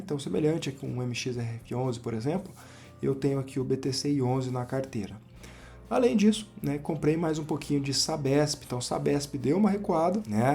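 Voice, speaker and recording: A man with a Brazilian accent, hoarse from a cold, giving relaxed, chatty commentary on his investment portfolio while sharing his screen. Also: mid-range voice. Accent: Brazilian Portuguese. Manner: relaxed, chatty, frequent "né" and "pessoal". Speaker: finance channel host.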